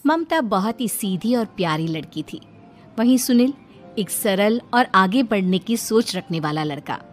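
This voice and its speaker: A female storyteller reads a scripted family tale. Her pitch is average, and music plays softly behind the narration. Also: lively intonation, measured pace.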